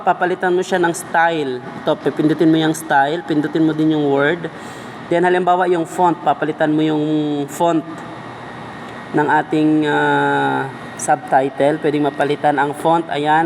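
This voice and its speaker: A man's voice: fairly high pitch, a little hiss or hum. A man with a Filipino accent giving a casual step-by-step tutorial, talking informally as if to a buddy. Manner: informal, addresses viewer as a buddy. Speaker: video editing tutorial presenter.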